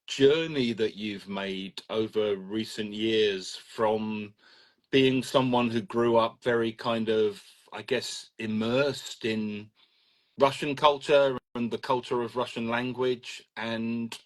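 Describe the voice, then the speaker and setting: A man hosting an interview on a video call, asking gentle, hedging questions full of fillers. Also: mid-range voice. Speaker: host, English teacher. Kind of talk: interview host.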